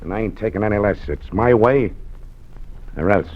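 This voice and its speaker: An actor playing a greedy American gang boss, slangy and needling, in 1940s radio crime drama style. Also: male voice, lowish voice, normal speed, natural voice. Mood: menacing. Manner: slangy, needling tough-guy talk. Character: hard, menacing, greedy male gang boss. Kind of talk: 1940s radio gangster acting. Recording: muffled old radio recording.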